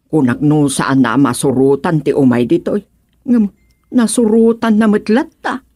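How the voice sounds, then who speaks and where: A woman acts out an exasperated village widow in an Ilocano radio drama, with an Ilocano accent. Her voice is fairly low and she speaks at a very unhurried pace, recorded close and dry. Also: warm tone, dry recording. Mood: weary, frank.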